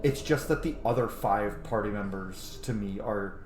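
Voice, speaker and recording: A man's voice: on the low side, moderate pace, slight background noise. A man in his thirties giving casual, gushing gameplay commentary as a streamer, sometimes swearing. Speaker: let's play streamer. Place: small room at home, indoors. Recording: loud game music behind, roomy sound. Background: video game music.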